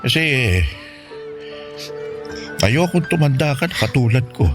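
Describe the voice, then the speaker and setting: A man's voice: mid-range voice, animated, measured pace, lightly acted. A man acting a poor, stern but loving Filipino guardian in an emotional radio drama. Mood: tender, confessional. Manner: emotional, scolding and pleading in turns. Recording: music bed behind the voice.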